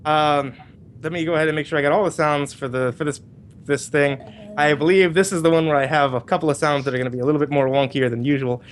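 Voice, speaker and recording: Male livestream host, slightly high voice, casual and rambling, restarts sentences, talking on a group voice call. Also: steady tone, fast, slight background noise. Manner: rambling, repeats and restarts phrases. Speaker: livestream host organizing a cast.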